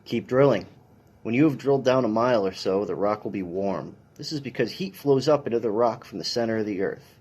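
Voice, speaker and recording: A man's voice: on the low side, fairly quick, darkish tone, husky. A man, a police officer, reading a children's science picture book aloud in an instructional way with brief dry asides.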